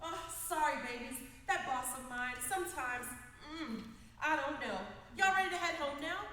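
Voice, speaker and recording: A breezy, loving working mom acted by a woman in a radio play, high and very animated. She sounds folksy, in an echoey hall with faint noise. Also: normal speed. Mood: apologetic, fond. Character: breezy, affectionate working mother, a TV news reporter. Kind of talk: lively radio comedy acting.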